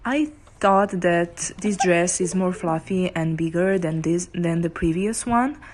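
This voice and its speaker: Woman with a Serbian accent, low voice. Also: unhurried, darkish tone, a little hiss or hum. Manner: chatty, laughs often, non-native phrasing. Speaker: photographer vlogger. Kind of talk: voice-over narration of a photo shoot.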